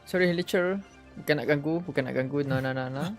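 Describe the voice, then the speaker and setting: A man's voice: on the high side, fast talker. A Malaysian-accented man giving casual live game commentary, talking to himself in short slangy exclamations. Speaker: game streamer. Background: game music.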